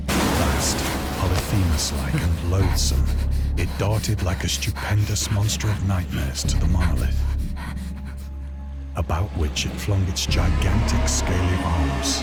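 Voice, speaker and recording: A man playing a haunted, awestruck survivor, narrating an old-fashioned horror tale in a grave, formal, deliberate manner. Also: low voice. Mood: horrified, haunted. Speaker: narrator of a horror visual novel. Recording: loud sea and boat ambience behind.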